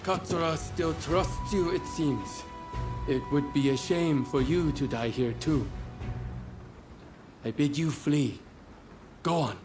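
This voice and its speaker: A man's voice: fairly high pitch, slow pace, pauses often, over music. An actor playing a proud, resolute samurai man in a game cutscene, speaking with formal weight. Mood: grave. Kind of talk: dramatic game cutscene acting.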